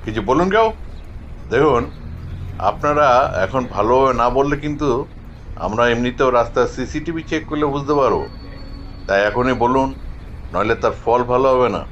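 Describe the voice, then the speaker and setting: An actor playing a stern, commanding police officer in an audio drama, brusque and interrogating, in slightly dull sound. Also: male voice, mid-range voice, moderate pace, long pauses, fairly bright tone, natural voice. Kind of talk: Bengali audio drama acting.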